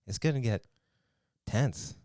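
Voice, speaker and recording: A man's voice: mid-range voice, slow pace, warm tone, dry recording, clean studio sound. A man chatting casually as a podcast guest, laid-back, laughing often. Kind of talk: casual chat.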